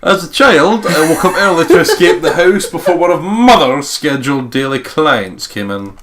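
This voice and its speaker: A man's voice: fairly high pitch, lively intonation, normal speed, a bit bright. A man hosting a let's play, reading visual novel narration aloud and breaking off into sweary, joking asides.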